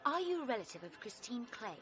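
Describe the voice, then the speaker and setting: A determined young lady sleuth in a vintage radio play. Her voice is bright and animated, well-spoken and brisk, with bossy pluck. Faint music sits behind her. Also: on the high side. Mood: bold, challenging.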